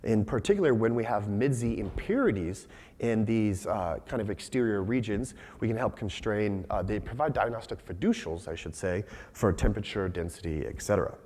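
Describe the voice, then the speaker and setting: A young man and PhD candidate giving a scientific conference talk, hoarse and raspy from losing his voice, measured with frequent fillers. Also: lowish voice, animated, a little mellow. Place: lecture hall. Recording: long hall reverb.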